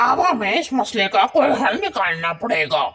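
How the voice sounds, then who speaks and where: Lively, protective father crow voiced by a woman. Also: medium pitch, normal speed, crowd noise, lightly acted. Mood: resolute. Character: steady, reassuring father crow, protective husband. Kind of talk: animated children's fable dialogue.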